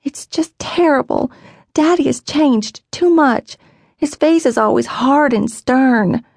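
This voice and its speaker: Woman putting on a small girl's voice, raised and slow, earnest, stubborn, dry storybook read. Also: high-pitched, a little mellow, dry recording, pitched above the performer's own voice, heavily acted. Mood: upset. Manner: pleading, emphatic. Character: young girl runaway, earnest and stubborn.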